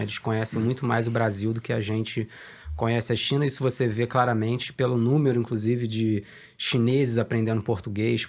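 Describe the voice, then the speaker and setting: A Brazilian man, a research director, answering questions in a business interview in a measured, hesitant way with many fillers. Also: medium pitch, creaky.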